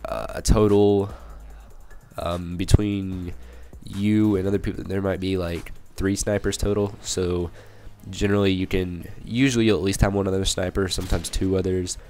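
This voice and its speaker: A young man with a cold, hoarse and a little raspy, rambling through casual gameplay commentary with many fillers and restarts. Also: deep voice, level tone, normal speed, a little mellow, faint music behind. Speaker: gaming channel commentator.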